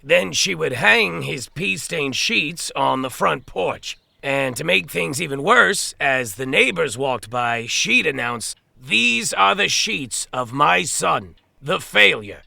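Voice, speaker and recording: A very creaky, forceful man from New Jersey, talking as someone's older brother in a mock documentary. He is plain-spoken and halting, hammering points by repeating them, in a dry, close recording. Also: fairly high pitch, animated, dry recording, heavily acted. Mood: exasperated, candid. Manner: halting, emphatic, repeats himself for stress.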